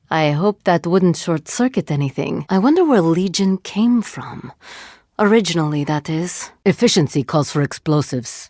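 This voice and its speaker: A woman playing a composed female cyborg agent with a drone hive in a video game, speaking formally and precisely in measured phrases. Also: low voice, a little mellow, no echo, clean studio sound. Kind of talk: scripted video game voice lines.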